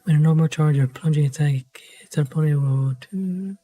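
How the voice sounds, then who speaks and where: A man giving casual gameplay commentary, husky and low-key, muttering to himself. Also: normal speed, mellow. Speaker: game streamer. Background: background game music.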